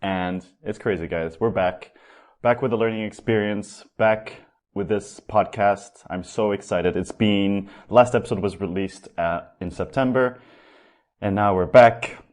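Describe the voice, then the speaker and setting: A young man hosts a podcast in a casual, upbeat way, loose with his words and now and then swearing. His voice sits very low. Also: unhurried, darkish tone. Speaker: podcast host.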